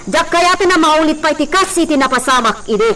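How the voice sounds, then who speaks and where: A woman's voice: high voice, expressive, a little fast, fairly bright tone. A woman with an Ilocano accent playing a bossy, scheming mansion madam in an audio drama, giving orders in a commanding manner. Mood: stern, commanding. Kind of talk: Ilocano radio-style drama acting. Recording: noisy track with crickets and insects.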